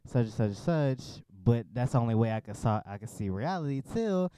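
A man talking casually in a group conversation, rambling with loose fillers and quick asides. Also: medium pitch, expressive, warm tone, clean tone, dry recording.

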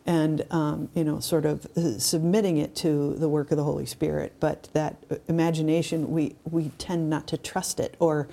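Low, creaky-voiced woman, reflective. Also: level tone, moderate pace, few pauses, dark tone. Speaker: podcast co-host. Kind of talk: casual reflective discussion.

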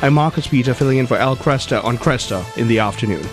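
A man's voice: medium pitch, quick pace, loud music behind the voice. A man from Malaysia filling in as host of a radio talk show, speaking earnestly and often repeating words as he starts a thought.

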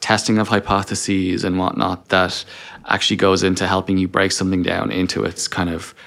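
A man's voice: low voice, completely flat delivery, moderate pace, gravelly, fairly bright tone. A man talking as a podcast guest in a conversational interview, explaining things by posing questions and voicing inner dialogue. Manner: explains through self-posed questions and quoted inner dialogue. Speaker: podcast guest, engineering leader.

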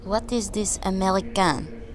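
A woman's voice: medium pitch, a little slow, a little hiss or hum, natural voice. A woman playing a devout, blunt young French warrior saint with a French accent in a comedic audio drama. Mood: puzzled, blunt.

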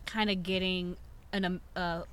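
A woman turning forty, a podcast guest, explaining things haltingly with lots of ums. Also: fairly low pitch, little pitch movement, a bit bright, clean tone. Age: 40s. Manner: halting explanations with many fillers. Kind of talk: casual chat.

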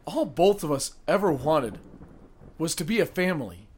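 An actor playing a bitter, grieving young son in an audio drama. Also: male voice, fairly high pitch, big pitch swings, normal speed, slight background noise. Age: young adult.

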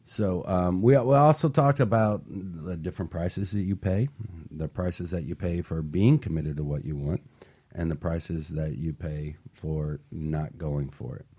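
Deep-voiced motivational male radio host. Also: measured pace, dark tone, husky. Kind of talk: upbeat scripted radio hosting with banter. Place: radio studio.

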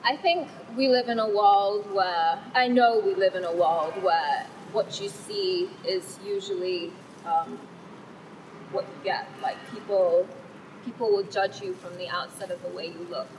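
A woman's voice: mid-range voice, steady tone, a little slow, slight background noise, low-quality recording. A woman born in Thailand, whose first language is Thai, answering interview questions thoughtfully and earnestly, with frequent fillers and restarts. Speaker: author being interviewed.

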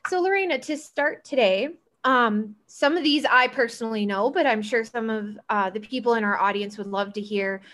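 A woman's voice: fairly high pitch, moderate pace, few pauses, a bit bright. A woman hosting an interview from home, asking chatty, rambling questions in a friendly way. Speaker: host. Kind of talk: casual interview.

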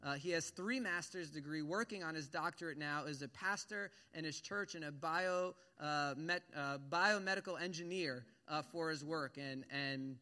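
A man's voice: on the high side, moderate pace, few pauses, sharp tone. A man leading his church as pastor, speaking earnestly from the pulpit and often restarting with repeated words and fillers.

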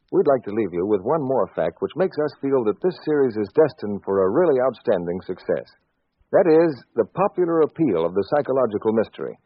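Husky male 1940s radio announcer. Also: mid-range voice, no room sound. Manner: formal, salesmanlike. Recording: tinny, dry 1940s radio recording.

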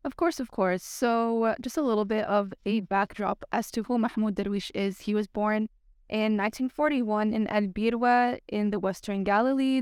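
A woman's voice: medium pitch, darkish tone, clear, resonant tone, dry recording, clean studio sound. A young American woman who is a student guest on a podcast, talking in an articulate and earnest way. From United States. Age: young adult. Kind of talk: podcast guest chat and poetry reading.

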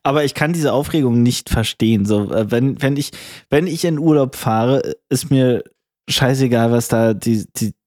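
A man's voice: medium pitch, moderate pace, a little mellow, dry recording, studio quality. Man with a cold, rambling and blunt. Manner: rambling, coarse colloquial, frequent restarts. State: has a cold. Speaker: podcast co-host. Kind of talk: casual chat.

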